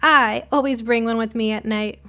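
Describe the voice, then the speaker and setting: A woman's voice: on the high side, steady tone, moderate pace, pushed and bright, clear, resonant tone. A woman voicing a teasing, confident teenage girl in an audio short story.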